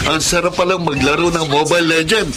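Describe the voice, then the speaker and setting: A man's voice: on the high side, normal speed, music in the background, lightly acted. A man playing an easygoing, playful young friend in a Tagalog radio drama. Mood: playful, relaxed.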